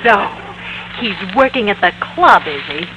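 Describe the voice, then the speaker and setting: Lady doing a stuffy middle-aged wife, slow and dramatic, old noisy radio comedy. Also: medium pitch, expressive, lightly acted. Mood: scandalized. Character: grand, indignant middle-aged wife investigating like a detective. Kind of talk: 1950s radio sitcom acting.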